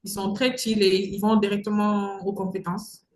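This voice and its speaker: A woman's voice: mid-range voice, little pitch movement, moderate pace, a little mellow. Emphatic woman in an echoey room. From Mali. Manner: emphatic, repeats phrases for stress. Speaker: entrepreneur interview guest. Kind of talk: conversational advice-giving interview talk. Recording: roomy echo with faint background noise.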